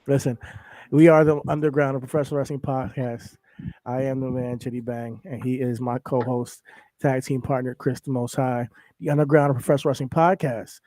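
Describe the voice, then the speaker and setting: A man from New with a cold, his voice a little raspy, laid back and laughing often as he co-hosts a casual wrestling podcast. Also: medium pitch, level tone, a little fast, mellow, no room sound, low-quality recording. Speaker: podcast co-host.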